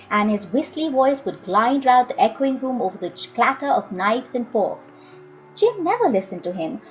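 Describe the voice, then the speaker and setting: A woman reading a story aloud as an expressive audiobook narrator. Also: a little high, lo-fi sound, a little hiss or hum.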